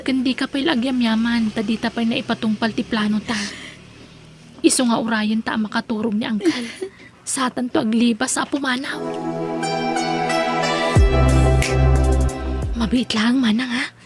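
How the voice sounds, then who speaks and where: A woman's voice: on the high side, fairly even intonation, a little fast, natural voice. An actress playing a devoted, anguished young woman in an Ilocano audio melodrama, speaking earnestly and emotively with an Ilocano accent. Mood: hushed, urgent. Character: Edna, devoted young woman, protective, anguished over her uncle. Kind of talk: Ilocano radio-style melodrama acting. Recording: music under the voice.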